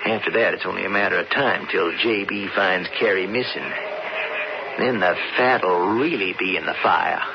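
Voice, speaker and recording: A man's voice: deep voice, normal speed, vocal fry. An actor playing a tough, practical man of the Old West with an American Western accent and plain-spoken frontier talk. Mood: calculating. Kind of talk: 1970s radio drama acting. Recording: noisy, dull old radio broadcast.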